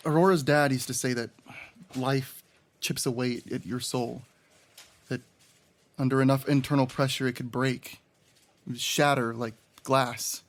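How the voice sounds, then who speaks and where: A man's voice: medium pitch, steady tone, a little slow, pauses often, close to an everyday voice. A man playing an earnest state police detective in an audio drama, speaking in a pensive, insistent way.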